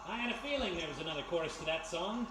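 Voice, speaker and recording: Roomy, animated wisecracking American private eye, acted by a woman. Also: fairly low pitch, very fast, lightly acted. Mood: wry. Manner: slangy, wisecracking, doubtful. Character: skeptical male ex-thief turned private detective. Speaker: actor. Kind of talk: 1940s-style radio detective acting, live. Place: live performance in a historic house. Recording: roomy, distant live recording with faint noise.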